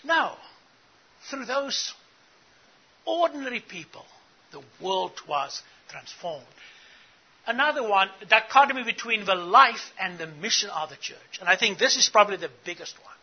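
A man's voice: high voice, sing-song, normal speed, breathy edge, dull audio. A middle-aged man from Africa, giving an earnest teaching talk to church leaders and putting questions to the audience. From South Africa. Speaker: conference speaker.

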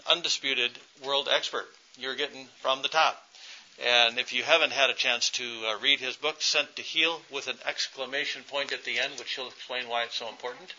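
A man, a family doctor, introducing the next speaker at a conference in a relaxed way with many fillers. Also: medium pitch. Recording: dull lo-fi sound.

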